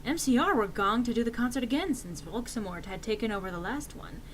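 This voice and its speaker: A woman delivers a melodramatic fan-fiction reading in a fairly high voice. Her pitch moves around a great deal as she plays up the drama, and there is some noise in the recording. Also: expressive, brisk. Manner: theatrical, swinging into shouts for quotes.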